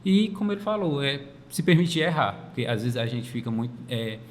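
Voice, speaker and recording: A man's voice: medium pitch, big pitch swings, brisk. A man giving informal, plain-spoken advice as a student guest on a panel. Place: makeshift studio at a university campus event. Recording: long reverb, faint ambient noise.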